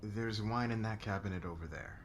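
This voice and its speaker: A man's voice: fairly low pitch, a little fast, noisy background, natural voice. A man playing an awkward, hesitant and bemused businessman at home in an audio roleplay. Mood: awkward, uneasy.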